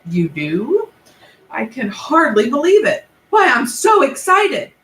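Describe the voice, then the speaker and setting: A woman's voice: high voice, lively intonation, moderate pace, bright, forceful tone, recorded from a distance, a little hiss or hum, voice pushed up. A woman doing a weepy old rhinoceros. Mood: excited. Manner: wails and frets, theatrical. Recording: echoey room.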